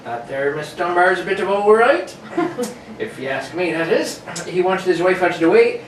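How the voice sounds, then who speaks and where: A man's voice: high voice, very animated, normal speed. A man playing a deferential local police sergeant, talking colloquially in a radio play. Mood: confiding. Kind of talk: amateur radio-play acting. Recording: roomy, distant, noisy live recording.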